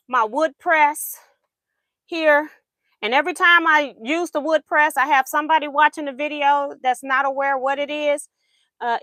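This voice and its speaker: A woman's voice: sits high, sharp tone, no room sound. A woman hosting a quilting livestream, chatting casually while she sews, laughing often and answering viewer comments, her voice going in and out.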